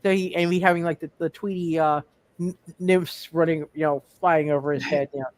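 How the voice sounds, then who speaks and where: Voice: mid-range voice, steady tone, fairly quick. A game master narrating a tabletop roleplay casually, often repeating words and phrases while working out what happens.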